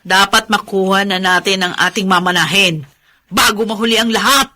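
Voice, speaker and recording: A woman's voice: mid-range voice, moderate pace, bright, forceful tone, lightly acted. A woman acting a bitter, greedy grown daughter in a Filipino radio drama, with a scornful, pointed delivery. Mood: sulky, scornful.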